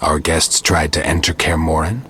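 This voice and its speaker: A man playing a stoic, terse monster hunter in a video game, speaking in a dry, questioning way with a husky rasp. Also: extremely low pitch, fairly even intonation, moderate pace, a bit bright, a little hiss or hum. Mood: terse, reserved. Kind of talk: video game dialogue acting.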